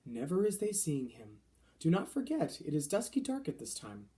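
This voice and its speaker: Casting: man to play friendly storybook giant, earnest and gently indignant, quaint mangled grammar, very animated intonation, mellow tone. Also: on the high side, normal speed. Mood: explaining, relishing. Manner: quaint broken grammar with mangled, invented words. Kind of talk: children's storybook character voice.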